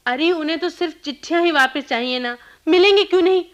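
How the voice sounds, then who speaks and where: A woman's voice: extremely high pitch, fast talker, dull audio. A woman playing a fretful young married woman in a radio play, with a plaintive, dramatic delivery. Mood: planning, hushed. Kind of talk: Hindi radio play acting.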